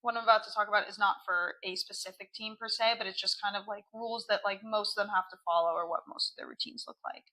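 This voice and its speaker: A woman co-hosting a podcast in casual chat, her voice hoarse from cheering, with many "like" fillers. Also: mid-range voice, fairly even intonation, fast, hardly any pauses, pushed and bright, poor audio. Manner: chatty, lots of "like" fillers. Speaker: podcast co-host.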